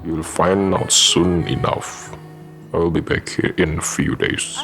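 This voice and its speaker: Radio drama captor general , male actor: deep and slow, curt, menacing, over music. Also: little pitch movement. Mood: cold, controlled. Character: cold menacing Japanese army general, captor.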